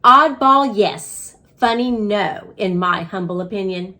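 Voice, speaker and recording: A woman voicing a small, eager, self-important male hamster in an expressive, exclamatory storybook telling. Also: medium pitch, slow pace. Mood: earnest, indignant.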